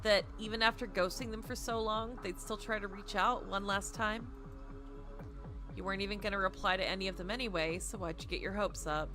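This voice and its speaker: A woman livestreaming a visual novel, switching between playful, chatty asides and expressive read-aloud narration. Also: medium pitch, a little fast. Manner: playful, chatty, self-deprecating. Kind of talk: casual stream chat mixed with expressive read-aloud narration. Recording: music playing behind the voice. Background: game music.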